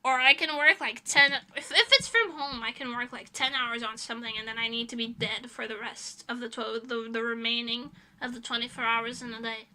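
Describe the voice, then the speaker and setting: A woman's voice: fairly high pitch, fairly even intonation, a little fast, few pauses, bright, forceful tone. A woman livestreamer chatting casually with her viewers in a rambling, blunt way, swearing freely. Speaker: livestreamer, illustrator. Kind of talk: casual livestream chat, reading and answering chat messages.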